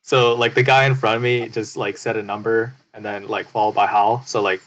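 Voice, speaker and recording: A man's voice: on the low side, flat delivery, a little fast, fairly bright tone, a little hiss or hum, poor audio. Chatty man telling travel stories. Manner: chatty, filler-laden, laughs at own stories. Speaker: podcast co-host. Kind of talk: casual podcast storytelling.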